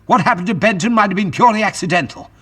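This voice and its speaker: An actor playing a brisk, authoritative scientist-adventurer in an audio drama, explaining and commanding in an old-fashioned gentlemanly way. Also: male voice, sits high, breathy edge, heavily acted. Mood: urgent, authoritative.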